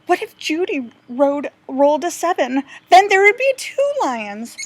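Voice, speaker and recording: Woman as an anxious high-voiced young boy. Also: very animated, moderate pace, no room sound. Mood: worried. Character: anxious young boy, easily upset, little brother. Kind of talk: storybook read-aloud.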